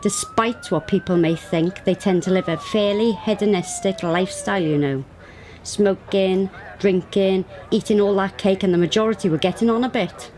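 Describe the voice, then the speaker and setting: Mellow, lilting female police sergeant played by an actress. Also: mid-range voice, very animated, normal speed, natural voice. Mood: dry, informative. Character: matter-of-fact, chatty female police sergeant. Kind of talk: radio play acting. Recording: siren and vehicle noise behind.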